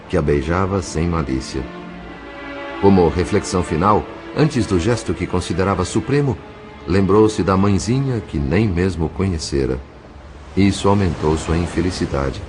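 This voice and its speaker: Brazilian guy narrating an audio novel, deep voice, measured and serious, soft music behind. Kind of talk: dramatic scripted narration.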